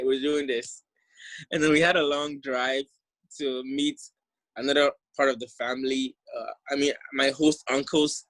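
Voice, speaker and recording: A young man from Ghana with a Ghanaian accent softened toward American, giving a friendly, enthusiastic talk over slides. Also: mid-range voice, no echo, poor audio. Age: young adult. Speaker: exchange alumnus presenter. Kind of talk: casual presentation over slides.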